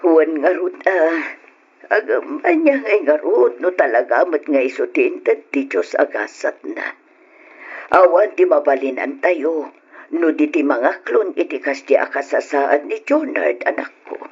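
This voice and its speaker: A woman's voice: low voice, lively intonation, unhurried, slightly rough, full character voice. A woman playing a devout, consoling elderly mother in an old-time Ilocano radio drama, speaking earnestly and sorrowfully. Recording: muffled, noisy old radio recording.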